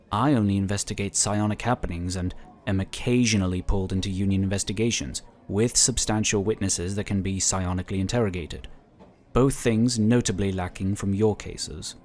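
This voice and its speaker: Guy playing a chatty easygoing space inquisitor, lowish voice, polite, sci-fi audio drama. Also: fairly even intonation, moderate pace, darkish tone, a little hiss or hum, lightly acted. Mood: candid, explanatory. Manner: talkative, easygoing, explanatory. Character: affable, chatty male psionic inquisitor, polite and apologetic.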